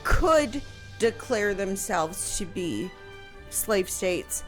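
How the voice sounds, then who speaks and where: A woman's voice: mid-range voice, lively intonation, drawn-out words. A woman historian, a guest on a radio show, explaining history casually and hesitantly with many ums. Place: radio studio. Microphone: studio microphone. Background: faint music.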